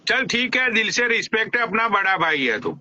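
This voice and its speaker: A high, very bright and tense male voice, ribbing his guest with crude jokes and put-on respect. He swears freely. The sound is dull and lo-fi, like a live stream. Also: expressive, fairly quick, a little hiss or hum.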